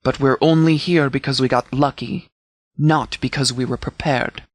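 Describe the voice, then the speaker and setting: A man playing a stern sheriff in a video game, giving formal, measured briefings with authority. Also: medium pitch, fairly even intonation, no room sound, clean studio sound, close to an everyday voice. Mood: grave, resolute. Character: stern, formal sheriff commanding a post-apocalyptic settlement militia. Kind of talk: scripted video game dialogue, military briefing.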